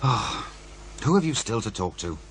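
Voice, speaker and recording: Casting: reluctant, cautious programme chief in radio drama, lively intonation, slightly noisy recording with hum. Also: male voice, on the low side, moderate pace. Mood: resigned.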